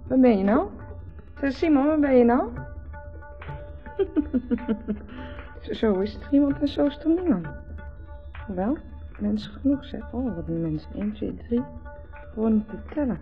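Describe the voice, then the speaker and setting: Tipsy Dutch wife, creaky voice. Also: female voice, fairly high pitch, expressive, a little slow, pauses often. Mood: giddy, searching. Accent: Netherlands Dutch. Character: tipsy, rambling, flirtatious wife at a party. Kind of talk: 1970s Dutch radio drama acting. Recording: dull vintage radio recording. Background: faint party music.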